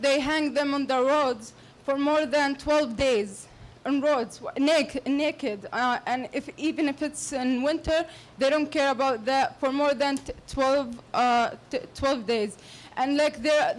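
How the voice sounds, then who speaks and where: A woman with a Palestinian Arabic accent, speaking English as her second language, making a halting, earnest comment from the floor of a public Q&A. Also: sits high, low-quality recording. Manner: halting and earnest, many restarts. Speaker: audience member. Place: lecture hall.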